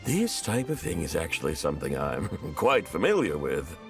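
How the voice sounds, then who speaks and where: An actor playing a proud, easily flustered young stage performer in a video game, dramatic and self-important. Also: male voice, very deep voice, huge pitch swings, moderate pace, full character voice. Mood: proud, theatrical. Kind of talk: English video game voice acting. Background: game music.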